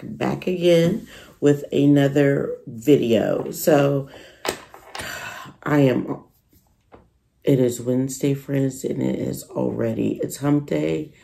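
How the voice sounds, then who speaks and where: A woman's voice: very deep voice, gravelly, dark tone. A tired woman vlogger who is losing her voice, chatting casually and rambling to her viewers about her resale business. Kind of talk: casual vlog chat to viewers.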